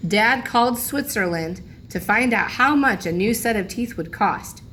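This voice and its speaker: A woman's voice: on the high side, expressive, a little slow, a bit bright, a little hiss or hum. A woman reading a children's storybook aloud like a teacher, expressively, lingering on key phrases for emphasis.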